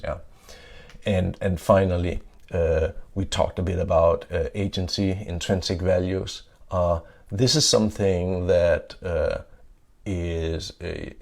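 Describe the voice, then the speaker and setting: A middle-aged man with a Danish accent giving a conference talk. He often checks in with a questioning "yeah?" and pauses on "uh" fillers. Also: deep voice, creaky, darkish tone. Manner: adds "yeah?" to check in with listeners; frequent "uh" fillers and restarts. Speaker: conference speaker. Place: conference stage.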